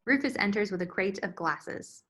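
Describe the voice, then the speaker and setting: A woman's voice: mid-range voice, lively intonation, normal speed, bright, forceful tone. A woman reading scene directions as scripted narration at a screenplay table read. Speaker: stage directions reader.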